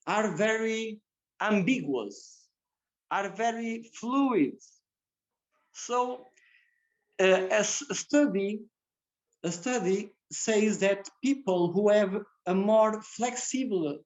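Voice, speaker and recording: Guy giving a talk, slow and halting, repeats phrases. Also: sits high, lo-fi sound. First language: Portuguese. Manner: halting, non-native English with repeated phrases. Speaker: guest speaker. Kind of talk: religious community lecture.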